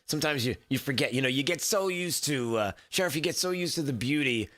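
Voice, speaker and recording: A man hosting a radio talk show, under the weather with a deeper voice than usual, chatting casually and rambling with lots of filler words. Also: animated, fast, a bit bright. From Southwest Florida.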